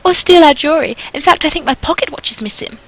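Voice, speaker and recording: Haughty society lady, played by a woman: affronted, theatrical, high and very animated, for a gothic romance game. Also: fast, low-quality recording. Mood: whining complaint. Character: snobbish, shrill, indignant French society ladies.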